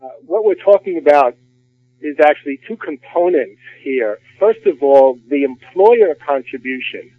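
A man, a retirement plan expert, explaining rules in a measured way on a radio finance discussion. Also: a little high, animated, dry recording. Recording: phone-like narrow band.

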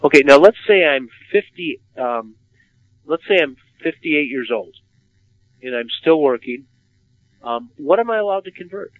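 A man's voice: a little high, expressive, moderate pace, no room sound. A man hosting a radio finance discussion, asking questions and often repeating words as he starts. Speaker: radio host. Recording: dull lo-fi radio sound.

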